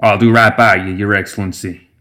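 A man's voice: fairly low pitch, fairly even intonation, a little fast, sharp tone. An eager young gremlin moonshiner, put on by a male narrator, proud but humble. He speaks in a rustic dialect, as a backwoods lad in a fantasy story would. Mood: resolute.

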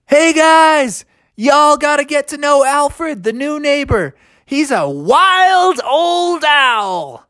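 A woman's voice: mid-range voice, big pitch swings, measured pace, dry recording, clean studio sound, pitched above the performer's own voice. A woman voicing a grumbly but game young male bear in a children's story, easily exasperated. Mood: excited.

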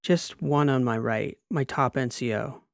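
A man voicing a strained, defiant female lieutenant in an audiobook, answering in halting, clipped phrases. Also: medium pitch, gravelly, no echo, clean studio sound. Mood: halting, concentrating. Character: strained, defiant female army lieutenant recalling combat drop.

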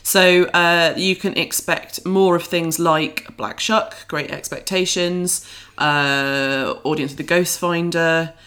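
A woman's voice: lowish voice, fairly even intonation, slow, a bit bright. Playful woman hosting a podcast. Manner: playful, wryly joking. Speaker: arts podcast host. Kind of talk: casual podcast chat.

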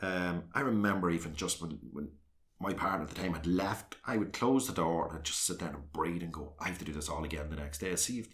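A man's voice: a little fast, a bit bright. A man from South Armagh speaking with a South Armagh accent as an interview guest, talking candidly and at length with frequent restarts. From South Armagh, Northern Ireland. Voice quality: deep voice. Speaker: guest, councillor and former soccer manager. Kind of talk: earnest conversational interview.

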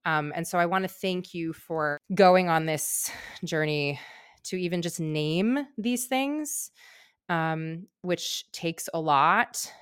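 Smooth-voiced woman hosting, slightly low. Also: takes it slowly, dry recording, studio quality. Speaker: podcast host. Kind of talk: conversational podcast interview.

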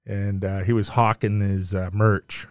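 A man's voice: fairly low pitch, flat delivery, measured pace, clear, resonant tone, no room sound. A man hosting a casual podcast, a friendly and easygoing interviewer who leans on fillers. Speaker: podcast host.

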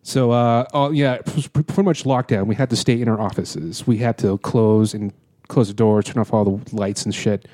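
A man's voice: lowish voice, fairly even intonation, moderate pace, warm tone, dry recording. A man hosting a casual podcast, telling a story in a rambling way with many fillers and restarts. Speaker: podcast host.

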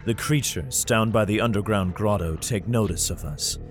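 An actor playing a grim, weary soldier who narrates his ordeal in an audio drama, grave and measured. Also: on the low side, faint music behind, lightly acted. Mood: strained, grave.

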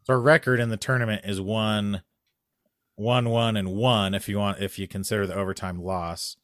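A man in casual chat, telling a long story that gets louder and more animated as it goes. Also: fairly low pitch, normal speed, no echo. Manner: animated storytelling, rising loud when excited.

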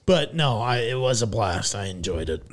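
A man's voice: fairly low pitch, big pitch swings, moderate pace. Joking man hosting a podcast at home. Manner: joking, rambling, many fillers. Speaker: podcast host. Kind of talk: casual chat.

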